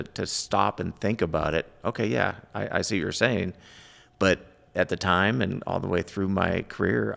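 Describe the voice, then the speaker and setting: Male interview guest, middle-aged, thick vocal fry, plainspoken recollections, recorded with long room reverb. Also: low-pitched, a bit bright. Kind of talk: casual interview answers, personal recollection.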